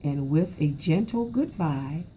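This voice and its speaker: Slow, expressive woman reading a storybook. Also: low-pitched, lo-fi sound, slight background noise. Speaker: educator reading aloud. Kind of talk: expressive children's storybook narration.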